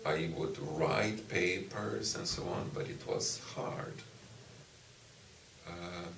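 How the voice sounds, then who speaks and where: Man from Brazil, Portuguese native speaker, very low voice, unhurried delivery, echoey room, mic at a distance. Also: gravelly, a bit bright, slight background noise. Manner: slow, thoughtful, with frequent "you know" and restarts. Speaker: university professor. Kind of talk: interview answers.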